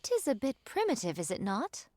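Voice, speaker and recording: A woman's voice: high-pitched, wildly swooping pitch, normal speed, a little mellow, no echo, exaggerated voice. An actress playing a composed, dignified female sword fighter in a video game, with formal, old-fashioned phrasing. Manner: formal, archaic wording, composed.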